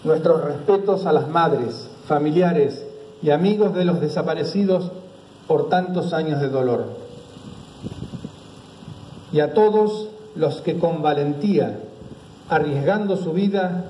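A middle-aged man giving a formal commemorative speech, reading solemnly in short measured phrases into a stand microphone outdoors. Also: a little high, mellow, slight background noise. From Argentina. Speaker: speaker at a memorial ceremony. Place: outdoors on a town green by a street. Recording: dull outdoor recording with echo and faint ambience.

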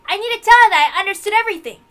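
A woman playing an excitable, confident young man in a visual novel, with an eager, informal, emphatic way of talking. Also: extremely high pitch, rapid-fire, soft background music, pitched above the performer's own voice. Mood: excited, earnest. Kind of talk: visual novel character reading.

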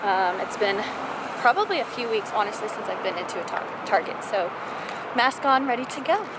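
A young woman vlogging casually to the camera, her voice a little hoarse from a cough, chatty and enthusiastic with many fillers. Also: mid-range voice, animated, normal speed, rough recording. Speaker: lifestyle vlogger. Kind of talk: casual vlog chat to camera. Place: parked car, then in a large store and a parking lot. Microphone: camera on dashboard or handheld at arm's length.

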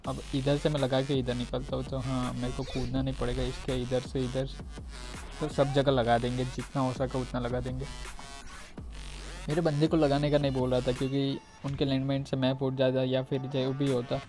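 A man's voice: mid-range voice, little pitch movement, moderate pace, a little mellow, soft background music. A man with an Indian accent giving casual, informal commentary on a video game, talking directly to his viewers. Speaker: gaming video creator.

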